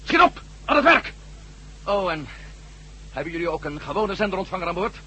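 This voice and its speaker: Brusque, animated ex-astronaut hero. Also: male voice, high-pitched, a little fast, slight background noise, full character voice. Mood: commanding, impatient. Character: adventurous ex-astronaut hero, man, weary, wry, commanding. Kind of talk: radio drama acting.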